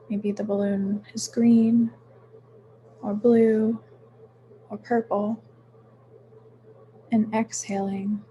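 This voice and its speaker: A woman leading a guided meditation in a soothing, unhurried manner, with frequent pauses between short phrases. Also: mid-range voice, warm tone, a little hiss or hum, poor audio. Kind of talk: guided meditation, gentle instruction.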